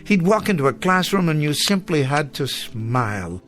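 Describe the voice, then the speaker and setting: A man's voice: a little high, very animated, normal speed, fairly bright tone, faint music behind. An actor playing a melancholy old male schoolteacher in a radio drama, with wistful, eloquent and formal old-fashioned phrasing. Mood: wistful reminiscing. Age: older. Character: weary, melancholy old male schoolteacher, bookish and self-reproaching. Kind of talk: dramatic radio play acting.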